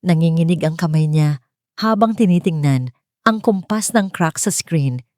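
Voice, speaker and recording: A woman reads a dramatic story as narrator. Her voice is rather low and very mellow, recorded dry and close with no room sound. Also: measured pace, dry recording.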